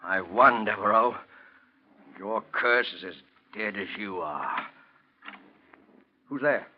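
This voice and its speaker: A man's voice: fairly low pitch, slow. An actor playing an arrogant, grasping businessman with a curt, menacing manner in 1940s radio drama style. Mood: rattled. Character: cold, grasping, arrogant businessman, male villain. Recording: dull old radio recording.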